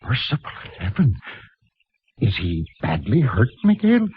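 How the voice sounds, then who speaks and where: Husky, flustered kindly old-radio priest. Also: male voice, medium pitch, huge pitch swings, unhurried, exaggerated voice. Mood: alarmed, concerned. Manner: hesitant, apologetic, genteel speech with small chuckles. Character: kindly, flustered Franciscan priest, gentle and absent-minded. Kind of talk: 1950s radio drama acting. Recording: husky, dull vintage radio recording.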